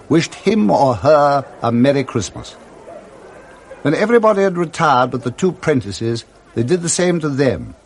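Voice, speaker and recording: A man's voice: a little high, darkish tone. A man with an English accent narrating expressively and theatrically in a scripted radio drama.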